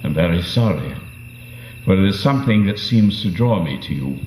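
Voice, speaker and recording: Give a low, formal voice to a proud, courteous gentleman suitor played by a man in a live radio drama. He speaks with courtly care. The stage recording is roomy, with some noise. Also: animated, moderate pace. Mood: earnest, smitten. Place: on stage at a vintage-style microphone.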